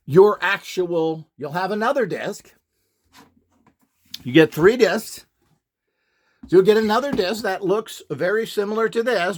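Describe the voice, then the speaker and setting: A man giving an informal, unscripted demonstration as an instructor, opinionated and dismissive in his way of talking. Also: fairly high pitch.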